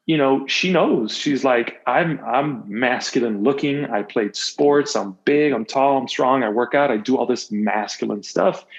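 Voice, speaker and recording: A man in his thirties chatting openly and casually as a podcast guest, rambling and repeating phrases for emphasis. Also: medium pitch. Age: 30s. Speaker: interview guest; motivational coach, podcast host, former athlete. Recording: muffled, dull lo-fi audio.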